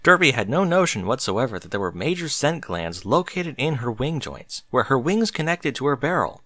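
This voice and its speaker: A man narrates a scripted story expressively. His pitch moves around a lot from phrase to phrase, and the recording is dry with hardly any room in it. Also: very animated, normal speed, sharp tone, no room sound.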